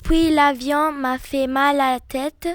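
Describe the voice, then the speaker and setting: A teenage girl from Algeria reading her own account aloud, slowly and carefully. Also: high voice, steady tone, no room sound, clean studio sound. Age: teens. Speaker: student reading her text. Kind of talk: read-aloud personal account.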